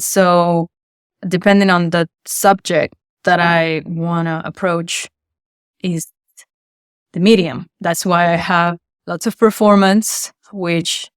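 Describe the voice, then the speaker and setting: A woman's voice: on the low side, clear, resonant tone, dry recording, studio quality. A woman from Argentina, an artist explaining her work thoughtfully in an interview. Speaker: installation artist.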